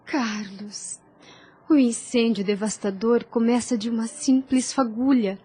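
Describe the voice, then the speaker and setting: Soft-toned, husky, devout young woman acted out. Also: fairly high pitch, steady tone, measured pace, no room sound. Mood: sorrowful, resolute. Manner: lofty, formal, earnest delivery. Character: devout young woman, tender, self-denying, resolute. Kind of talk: solemn audio drama acting of a religious novel.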